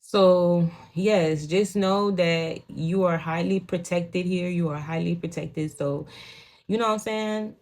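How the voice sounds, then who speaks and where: A woman's voice: fairly low pitch, takes it slowly, a little mellow. Profane Haitian-accented woman reading tarot. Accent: Haitian Creole. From Haitian home. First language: Haitian Creole. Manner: blunt, profane, frequent "you know what I'm saying". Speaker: tarot reader. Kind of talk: casual spiritual reading.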